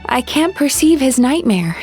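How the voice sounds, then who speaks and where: An actress playing a quiet, puzzled young mystic woman with a deliberate manner in an anime fantasy game. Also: high voice, sing-song, soft background music.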